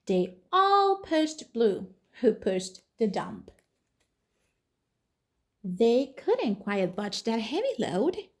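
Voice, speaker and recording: A woman reading a children's storybook aloud as a toddler teacher, in an expressive manner. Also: a little high. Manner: slow, animated, expressive.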